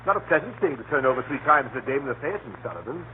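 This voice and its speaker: Casting: male actor for a jovial, dithering upper-class English squire, lively swooping voice, vintage radio play, faint hiss. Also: medium pitch, racing, lightly acted. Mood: chatty, absent-minded. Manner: jovial, bumbling, repeatedly appealing to his butler. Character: hearty, scatterbrained English country gentleman. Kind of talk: 1930s radio acting. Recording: scratchy, tinny 1930s radio broadcast.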